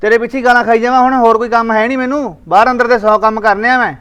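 Man playing husband, gruff, dismissive, quick, high. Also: steady tone, fairly bright tone. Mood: irritable. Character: irritable rural husband, dismissive and short-tempered.

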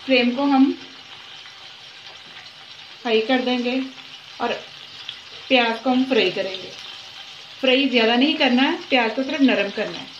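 A woman with a fairly high voice walks through a recipe step by step, unhurried and repeating herself as she cooks. Her voice rings in an echoey home kitchen while frying and sizzling from the pot sound clearly underneath. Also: muffled recording, a little hiss or hum. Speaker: cooking channel host. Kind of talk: step-by-step cooking instruction.

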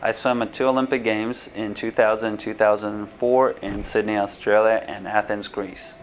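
Man, 30s, from Ukraine; flat, low voice; hesitant interviewee in an office. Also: moderate pace, slight background noise, rough recording. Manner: hesitant, many fillers and restarts. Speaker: swim school owner. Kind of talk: answering interview questions to camera. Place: small office indoors. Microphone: camera framed at chest height, seated.

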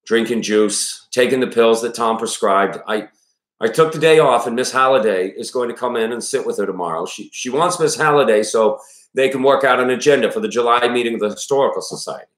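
Guy putting on a tired older maine dad, folksy and halting, worried, echoey room. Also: mid-range voice, a bit bright, natural voice. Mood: weary, reassuring. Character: weary older father, small-town Maine, worried and plainspoken. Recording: roomy echo around the voice.

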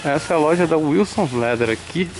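A man's voice: on the high side, expressive, fairly quick, music in the background, rough recording. A man from Brazil giving an upbeat, chatty tour of outlet stores for his vlog, filmed on a handheld camera. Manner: chatty, upbeat, pointing things out. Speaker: vlogger. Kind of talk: casual shopping vlog.

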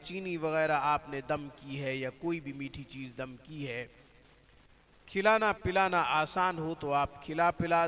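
A man gives religious instruction in a slow, measured, devout way, using Arabic and religious phrasing. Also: a little high, a bit bright. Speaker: spiritual healer teaching prayers.

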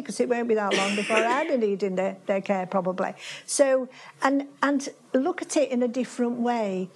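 Gravelly-voiced Yorkshire woman presenting. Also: medium pitch, darkish tone. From Yorkshire, England. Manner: chatty, with frequent restarts and fillers. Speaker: conference speaker. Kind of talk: conference presentation. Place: seminar room with projector screen.

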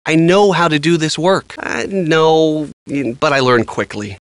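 Animated young American handyman. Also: fairly high pitch, a little slow, no room sound. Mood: defensive, uneasy. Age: young adult. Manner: casual, earnest, slightly defensive. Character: earnest young handyman and history student. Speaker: game voice actor. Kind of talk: conversational video game dialogue.

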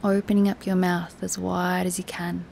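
A woman teaching guided relaxation in a soothing, soft, instructive way, with breathy, near-whispered moments and long pauses. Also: on the low side, flat delivery, a little hiss or hum. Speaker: yoga nidra meditation teacher.

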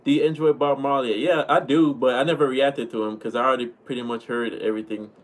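Young Jamaican-accented man, laid-back streamer, mid-pitched voice, webcam sound in a small home room. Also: fast talker. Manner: relaxed, chatty, thanks viewers often. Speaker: livestream host reading chat. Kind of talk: casual livestream chat. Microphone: webcam at desk.

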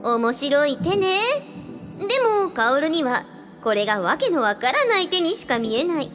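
A woman's voice: high voice, big pitch swings, normal speed, a bit bright. Woman as breezy, flirtatious glamorous lady spirit. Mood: knowing. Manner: coquettish, casual, self-assured. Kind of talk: radio drama acting. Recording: music behind the voice. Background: music.

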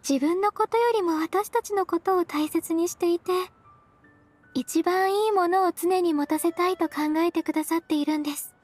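A woman voicing a gentle, modest young woman in an anime-style game, speaking with formal, slightly hesitant politeness. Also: very high voice, moderate pace, soft background music. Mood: earnest, modest.

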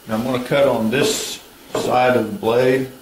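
A man's voice: mid-range voice, flat delivery, a little slow. A man in his thirties explaining a woodworking build step by step in a plain, practical, instructive way, recorded in a home garage workshop. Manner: practical, instructive, matter-of-fact. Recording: roomy workshop, distant mic, tool noise.

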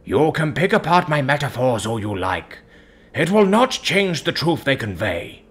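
Man playing a gentle, firm counsellor with lively intonation. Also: medium pitch, breathy edge, pitched above the performer's own voice, full character voice. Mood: firm, earnest. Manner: measured, earnest, preaching in metaphors. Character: calm, devout male counsellor, gentle but firm.

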